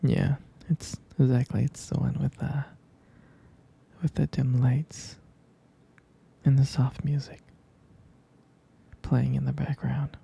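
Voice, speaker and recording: A man playing a jealous, devoted boyfriend in an intimate ASMR roleplay, murmuring tenderly and hesitantly in a breathy, husky near-whisper. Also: mid-range voice, little pitch movement, takes it slowly, long pauses, warm tone, heavily acted. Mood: tender, nostalgic. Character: jealous, protective, devoted young boyfriend soothing his partner.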